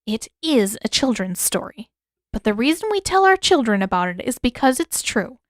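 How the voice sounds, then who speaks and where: A woman voicing an older mother, shrewd and unyielding, with a measured, matter-of-fact way of talking. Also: a little high, very animated, no room sound, clean studio sound. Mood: firm.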